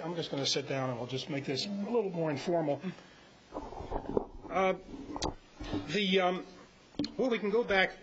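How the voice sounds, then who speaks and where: An older man in his seventies presents informally at a club meeting. He rambles haltingly in a fairly high male voice. The recording is dull, with a faint hiss. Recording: muffled live meeting audio with hiss.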